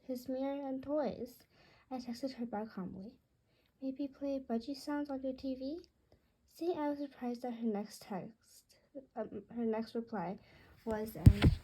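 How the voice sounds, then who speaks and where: A woman's voice: fairly high pitch, warm tone, slightly rough, rough recording. A woman reading a first-person story aloud, occasionally stumbling over words and correcting herself. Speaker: author reading own book aloud. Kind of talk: read-aloud story narration.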